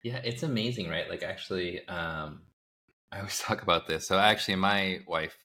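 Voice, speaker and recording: Guest: thirties American man, very low but bright voice, loose rambling delivery. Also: moderate pace, slight background noise. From United States. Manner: relaxed, rambling with many fillers and self-corrections. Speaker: startup founder, guest. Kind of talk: casual conversational interview.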